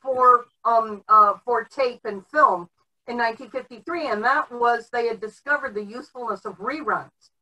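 A middle-aged woman explaining background informally, haltingly, with many filled pauses, on a video call. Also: a little high, pushed and bright. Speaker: panelist giving background on old TV history. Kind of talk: informal explanatory podcast talk. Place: home room, indoors, on video call. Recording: distant mic on video call.

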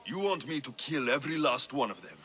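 An actor playing a tough, blunt, sardonic mercenary of ancient Greece in a video game. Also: male voice, mid-range voice, sing-song, fairly quick, sharp tone. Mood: wry, businesslike. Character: tough, wry male Spartan mercenary, blunt and menacing. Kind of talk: video game character acting.

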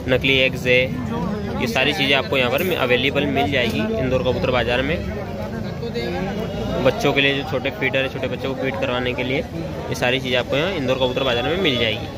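A man's voice: mid-range voice, level tone, brisk. A man with an Indore Hindi accent walks a street pigeon market with a phone camera, telling viewers about prices and accessories in an eager, repetitive way. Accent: Indian Hindi, Indore. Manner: eager, repeats questions, addresses viewers as brothers. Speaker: vlogger touring a pigeon market. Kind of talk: casual market walkthrough, asking prices. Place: busy outdoor street market. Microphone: handheld phone camera. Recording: loud crowd noise behind.

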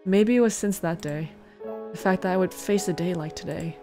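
A woman's voice: lowish voice, moderate pace. A woman doing a let's play, reading visual novel narration aloud with casual asides and the odd stumble. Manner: stumbles over words and corrects herself while reading. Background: game music.

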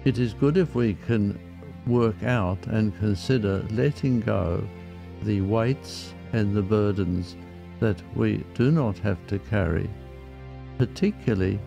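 A man leading a guided meditation in a measured, reflective manner with frequent pauses. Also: on the low side, vocal fry, dark tone, faint music behind.

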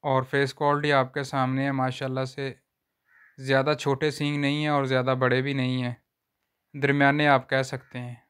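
A man gives a flat, even-toned sales walkthrough of cattle on an outdoor farm. His voice is smooth and clear, and he talks in steady, unhurried patter. He is recorded on a handheld phone. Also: mid-range voice. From Pakistan. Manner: repeats a stock religious phrase often. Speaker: livestock seller vlogger.

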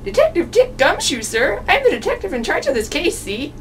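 A woman's voice: very high-pitched, wildly swooping pitch, brisk, pitched above the performer's own voice, heavily acted. A woman voicing an eager, scruffy male police detective in a blustering way for an amateur puppet show. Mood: eager, earnest. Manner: blustering, tacks "see?" onto statements. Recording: distant mic with clear background noise.

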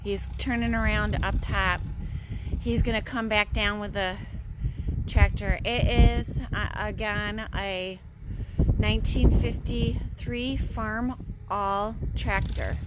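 An American woman chatting casually and unhurriedly to viewers on a live homestead vlog, recorded outdoors on a handheld phone. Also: fairly even intonation. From Western New York, USA. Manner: unhurried, addressing viewers as guys. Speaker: homestead vlogger. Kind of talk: casual live-stream chat. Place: outdoors on a farm driveway. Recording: noisy outdoor phone, tractor engine. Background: engine rumble and outdoor noise.

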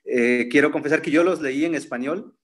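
A man's voice: a little high, fairly quick. A man in his thirties, a native Zapotec speaker, talking thoughtfully in a seminar over a laptop video call, with many fillers and restarts. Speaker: seminar participant. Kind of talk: reflective conversational talk. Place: room at home with framed pictures. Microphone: laptop webcam on a video call.

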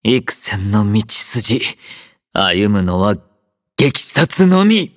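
A young male android soldier from a video game, gentle and composed. He speaks softly and slowly, with wide, expressive swings in pitch and a drowsy, mildly teasing care. The voice is close and dry. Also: no echo, heavily acted. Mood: cool, resolute. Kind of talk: Japanese game character voice acting.

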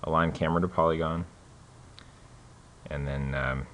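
A man hosting a casual screen-recorded software tutorial, relaxed and full of fillers and restarts. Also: a bass voice, moderate pace, a little hiss or hum.

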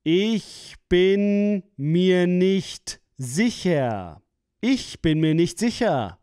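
A man's voice: high-pitched, expressive, extremely slow, darkish tone, clear, resonant tone, no room sound, clean studio sound. A man reading phrases for a language course, splitting and repeating them with careful enunciation. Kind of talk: slow, clear phrase-by-phrase repetition.

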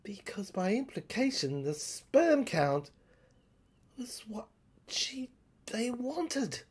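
High, husky woman in a halting dramatic monologue. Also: big pitch swings, darkish tone. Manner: halting short phrases with pauses, sometimes dropping to a whisper. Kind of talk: dramatic first-person monologue, audio play narration.

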